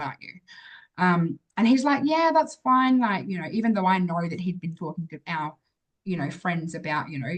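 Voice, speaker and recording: A woman's voice: on the low side, low-quality recording. An Australian woman telling a personal story as an interview guest in a casual, chatty, rambling way with many filler words.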